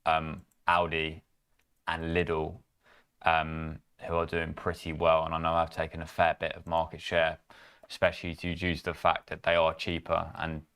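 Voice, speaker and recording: Young man, very low voice, relaxed offhand co-host. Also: moderate pace, smooth. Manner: relaxed, offhand, casual phrasing. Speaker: co-host at a trading firm. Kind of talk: casual chat.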